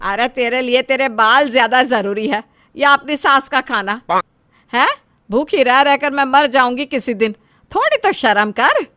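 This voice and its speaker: A woman acting a bright, nagging mother-in-law. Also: high voice, lively intonation, a little slow, heavily acted. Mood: scolding. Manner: scolding, exclamatory.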